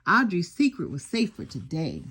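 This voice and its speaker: An older woman reading a children's story aloud in an expressive storytelling style. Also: lowish voice, moderate pace, husky.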